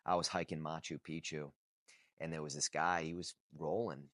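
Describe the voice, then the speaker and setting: A man around thirty with a deep, low voice talks straight to camera in a blunt, casual way. He swears freely and circles back on his points for emphasis. The sound is dry and close, with no room around it. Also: fairly even intonation, moderate pace, fairly bright tone, no echo. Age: 30s. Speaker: vlogger. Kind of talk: casual unscripted talk to camera.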